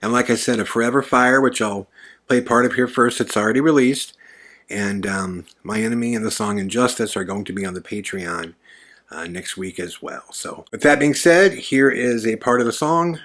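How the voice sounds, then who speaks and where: A man hosting his own podcast, talking earnestly in a casual monologue, often pausing on fillers. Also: mid-range voice, a bit bright. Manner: earnest, hesitant with many fillers. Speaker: podcast host.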